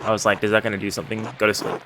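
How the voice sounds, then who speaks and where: A man's voice: on the low side, flat delivery, racing, background noise. A man giving casual let's play commentary in muttered asides as he plays. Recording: music and rain behind the voice.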